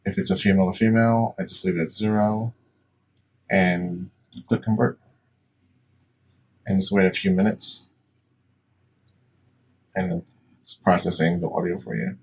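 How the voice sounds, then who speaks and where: A man presenting a casual step-by-step software tutorial in a plain-spoken, instructional way. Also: deep voice, unhurried, long pauses, husky. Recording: distant mic, faint background noise.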